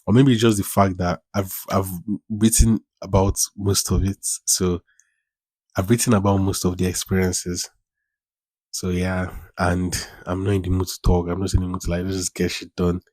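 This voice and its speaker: A man's voice: low-pitched, little pitch movement, normal speed, a little mellow, dry recording, poor audio. A man in his twenties hosting a casual solo podcast, rambling, repeating phrases and using informal slang. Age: 20s. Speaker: podcast host.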